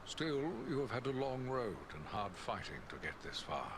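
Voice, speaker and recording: An actor playing a grave, courteous elven lord in a fantasy game, speaking in a formal, measured, stately way. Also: male voice, mid-range voice, very animated, noisy background, close to an everyday voice. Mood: courteous, solemn. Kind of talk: fantasy video game voice acting.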